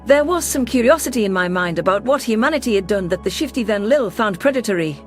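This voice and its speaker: Alien ambassador and narrator, female actor: medium pitch, earnest, defiant, protective. Sci-fi audio drama. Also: lively intonation, quick pace, faint music behind, close to an everyday voice. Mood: reflective. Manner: earnest, assertive. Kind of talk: science fiction audio drama, first-person narration and dialogue.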